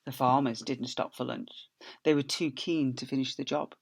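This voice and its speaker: A man's voice: mid-range voice, level tone, normal speed, creaky. A man, an infant school teacher, reading a story expressively to young children.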